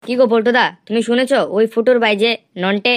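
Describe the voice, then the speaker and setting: পুরুষ কণ্ঠে নিচু গলার গ্রামের ফচকে ছোকরা. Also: male voice, very high-pitched, little pitch movement, quick pace, no echo, clean studio sound. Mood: gossipy. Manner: crude, gossipy. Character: foul-mouthed young village lad, eager gossiping sidekick. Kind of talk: comic cartoon dialogue.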